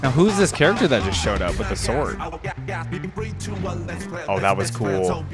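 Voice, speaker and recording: A man's voice: on the low side, big pitch swings, measured pace, over loud music. A man hosting a reaction video, chatting casually and thinking aloud.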